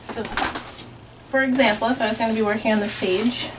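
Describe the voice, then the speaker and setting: A young adult woman, an author and illustrator, calmly explains her work while showing visitors around her art studio. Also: a little high. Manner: unhurried, explanatory. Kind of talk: casual studio tour. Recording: noticeable background noise.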